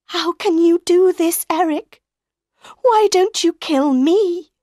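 Storybook heroine, played by a female narrator: anguished young woman, brave and tender, raised pitch, slow emotional delivery. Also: a little mellow, no echo, studio quality. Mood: desperate, pleading. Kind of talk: audiobook character voice.

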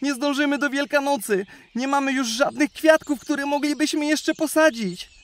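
A woman's voice: high-pitched, steady tone, moderate pace, lightly acted. A woman voicing a small, curious young hare child in a children's audio drama.

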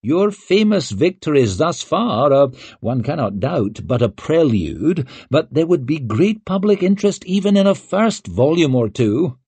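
A man voicing a vain, flattering male writer who speaks in flowery, grandiose phrases. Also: a little high, unhurried, few pauses, breathy edge, no echo, pitched above the performer's own voice, exaggerated voice. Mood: pompous.

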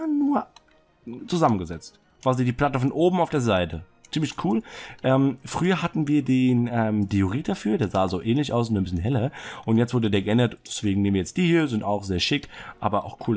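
A young man with a cold, clearing his throat now and then, giving rambling, excited commentary while touring a game build. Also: on the low side. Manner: excited, fidgety, rambling with many fillers. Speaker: Minecraft server builder showing a city. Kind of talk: casual gameplay commentary. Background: soft background music.

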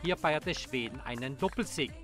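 A man reading a scripted sports news report in a measured, formal way. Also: mid-range voice, lively intonation, a bit bright, soft background music.

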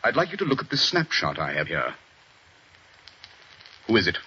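An actor playing a stern middle-aged police chief inspector, in a 1940s radio drama, husky and clipped. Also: male voice, lowish voice, wildly swooping pitch, normal speed, sharp tone, heavily acted. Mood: grave. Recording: dull old radio broadcast.

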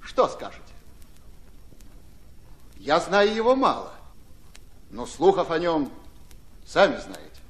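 An actor playing a courteous, businesslike Red Army commander in a radio drama, probing with authority. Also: male voice, fairly high pitch, very animated, fairly bright tone, heavily acted. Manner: brisk, questioning, authoritative.

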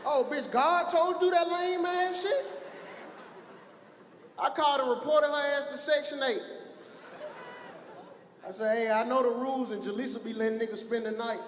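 Young male stand-up, high-voiced and loud, crude crowd work on a handheld mic, echoey theater with faint audience noise. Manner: brash, profane, shouting at the crowd. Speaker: stand-up comedian. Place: large theater stage with an audience.

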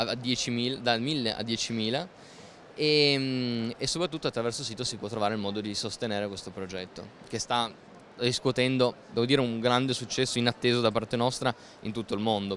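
A man's voice: medium pitch, fairly bright tone. A young man giving explanatory interview answers as a spokesperson, searching for words, into a handheld microphone at a fair. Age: young adult. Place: busy indoor trade fair stand. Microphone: handheld microphone held by interviewer. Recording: clear background noise of busy fair.

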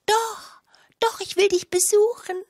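A woman's voice: very high-pitched, fairly even intonation, unhurried, no room sound, clean studio sound, voice pushed up. A woman voicing a small thin female dancing mouse, childlike, singsong and pleading. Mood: pleading, eager.

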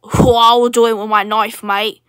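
A woman's voice: on the high side, level tone, moderate pace, clear, resonant tone, lightly acted. A woman voicing Australia as a cheerful, knife-happy Aussie bloke in an improvised comedy skit, brash and matey with an Australian accent. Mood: menacing, cheerful. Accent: Australian, put on. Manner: brash, matey, repetitive slangy phrasing.

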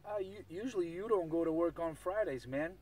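A man's voice: fairly high pitch, fairly quick. A man taunting others in casual banter over CB radio, with a mocking, needling manner. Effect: CB radio transmission. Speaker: amateur CB radio operator. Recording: distant, very noisy CB radio.

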